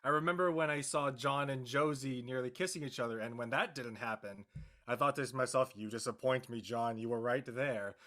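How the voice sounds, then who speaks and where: A man talking off the cuff as an actor in an interview, halting and searching for words. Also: medium pitch, a bit bright. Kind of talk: unscripted interview talk.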